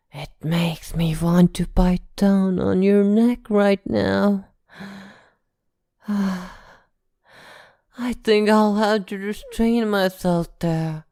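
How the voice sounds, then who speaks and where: A woman playing an arrogant, possessive vampire captor in an ASMR roleplay, haughty, teasing and softly threatening. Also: fairly low pitch, no room sound, clean studio sound.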